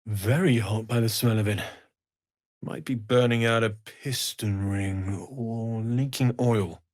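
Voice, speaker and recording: A man voicing a kindly, earnest, world-weary blacksmith in a video game, speaking softly and sincerely. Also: fairly low pitch, steady tone, slow. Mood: grave, concerned. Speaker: video game character, smith and merchant.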